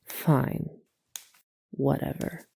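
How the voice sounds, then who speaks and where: A woman playing a stern, sceptical, guarded family authority in an audio roleplay, measured and curtly reproving. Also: mellow. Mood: stern, disappointed.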